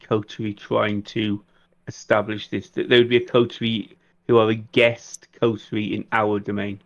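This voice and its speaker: A man's voice: fairly low pitch, flat delivery, normal speed, highs cut off, natural voice. A man playing a pragmatic, plotting vampire in a tabletop roleplaying game, talking conversationally. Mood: quietly plotting. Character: pragmatic vampire coterie member quietly plotting.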